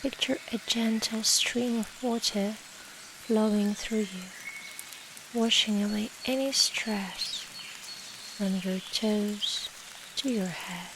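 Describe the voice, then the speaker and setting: A woman guiding a meditation in a soft, soothing, measured way with long pauses and breathy endings. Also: medium pitch. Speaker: meditation guide. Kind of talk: slow guided meditation. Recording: steady rain noise behind the voice. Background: rain and nature ambience.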